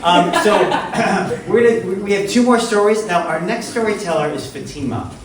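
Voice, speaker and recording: A man's voice: high voice, normal speed, a crowd in the background. A man hosting a live storytelling event, who speaks hesitantly, with many fillers, as he introduces the next speaker. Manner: hesitant, filler-heavy, with laughs. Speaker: host. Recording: very echoey hall, mic from far off, background noise.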